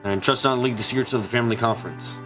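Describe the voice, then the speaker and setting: A man's voice: mid-range voice, very fast, natural voice, poor audio. A man voicing a courteous, guarded male doctor in an amateur fan dub, formal and a little hesitant. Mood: formal, explaining. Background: background music.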